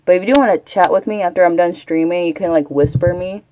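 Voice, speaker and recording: A woman's voice: on the low side, quick pace, dark tone, slight background noise, rough recording. A chatty woman from Texas, rambling casually as a live streamer and answering her chat. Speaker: Twitch streamer.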